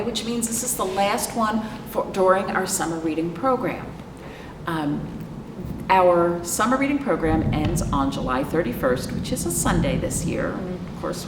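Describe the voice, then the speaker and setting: A woman hosting a children's storytime as a librarian, reading aloud and chatting in an animated way, putting questions to young listeners. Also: medium pitch. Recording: echoey reverberant room with background noise.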